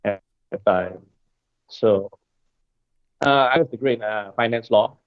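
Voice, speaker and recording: A man's voice: fairly low pitch, clear, resonant tone, no room sound. Man on a tinny line. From Indonesia. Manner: hesitant, many filler pauses. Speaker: guest, coral wholesaler. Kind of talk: casual interview answers. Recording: dry, tinny phone-like line.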